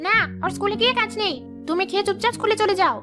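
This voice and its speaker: Fairy-tale cartoon role: actress as harsh, sarcastic stepmother, extremely high rapid voice, music underneath. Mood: scornful, irritated. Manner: scolding, sarcastic. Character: harsh, spiteful village stepmother.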